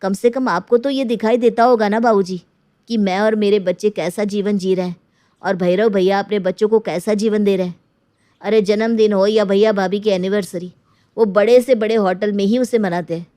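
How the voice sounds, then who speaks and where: A woman's voice: mid-range voice, steady tone, normal speed, dark tone, no room sound, natural voice. A woman voicing an aggrieved grown son in a moral story, proud, bitter and insistently complaining. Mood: bitter, pleading.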